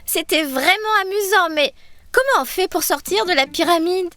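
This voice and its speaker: A woman's voice: very high voice, normal speed, pushed and bright. A woman voicing a cheerful, curious young girl in a children's cartoon, with enthusiastic, wide-eyed delivery. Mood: explaining, friendly.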